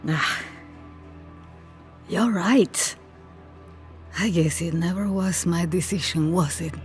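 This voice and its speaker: An actress playing a kindly older village woman in a video game cutscene, speaking gently and with wistful amusement. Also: lowish voice, slow pace, soft background music. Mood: gently rueful.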